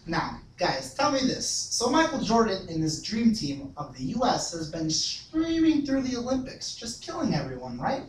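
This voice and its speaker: A man playing an excitable sports superfan host in a comedy sketch, stretching words, repeating himself and tagging questions with "eh". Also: high voice. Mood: showy, excitable. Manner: drawn-out, repetitive, tags questions with "eh". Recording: echoey room, distant mic, faint noise.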